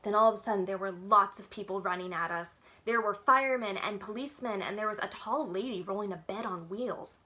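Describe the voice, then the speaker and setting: A woman's voice: medium pitch, fairly quick, few pauses, bright, forceful tone. A woman reading a children's book aloud expressively, as a library storyteller, with dramatic, childlike first-person narration.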